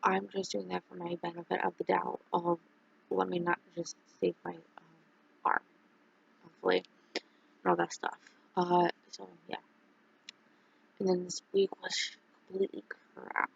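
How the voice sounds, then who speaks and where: Streamer, casual chat; heavy vocal fry, breathy edge, dull lo-fi recording, rambling delivery. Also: mid-range voice. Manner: rambling, halting, many fillers and restarts.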